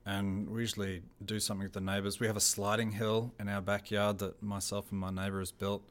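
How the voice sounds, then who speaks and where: Laid-back Australian man, lowish voice. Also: brisk. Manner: relaxed, chatty, laughs often, restarts phrases. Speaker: theater technical director, guest. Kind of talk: casual radio interview.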